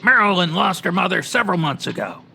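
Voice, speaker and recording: An actor playing a brusque, bossy city editor in a radio comedy, with clipped slangy talk and a gravelly, sharp voice. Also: male voice, high voice, big pitch swings, quick pace, a little hiss or hum, full character voice. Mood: brisk, scheming. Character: brusque, excitable male city newspaper editor. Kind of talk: old-time radio newsroom comedy acting.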